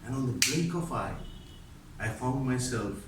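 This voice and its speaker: A man's voice: medium pitch, expressive, a little slow. A man telling a tall tale as a prepared speech to an audience. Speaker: contest speaker. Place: echoey room at a speech contest. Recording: roomy echo, distant mic, faint noise.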